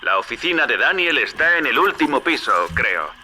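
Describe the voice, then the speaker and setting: A shrewd game ally. His voice is forceful and very bright, swinging widely in pitch as he gives familiar, brisk orders through a slightly noisy radio. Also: very animated, exaggerated voice. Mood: businesslike. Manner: familiar, directive. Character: shrewd, brisk male ally guiding the hero. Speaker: guide over radio. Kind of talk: video game dub acting.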